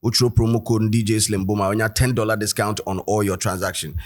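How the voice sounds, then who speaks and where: A man's voice: lowish voice, fairly even intonation, fairly quick. A man with a Ghanaian accent hosting a casual editorial show, talking loosely with many fillers and switching between English and Twi. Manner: chatty, loose, full of fillers and code-switching. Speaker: host and commentator.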